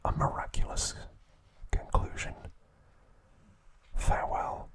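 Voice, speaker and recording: A man hosting an ASMR video, recapping a story in a soft, unvoiced whisper. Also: slow pace. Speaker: ASMR host.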